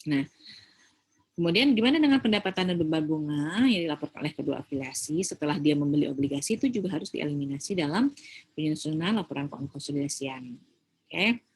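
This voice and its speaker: An Indonesian woman speaks in a low, husky voice, teaching as a lecturer would in an informal recorded class. She explains step by step, mixing in English terms and often adding small tags to check that listeners follow. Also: lively intonation, quick pace, a little mellow. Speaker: accounting lecturer.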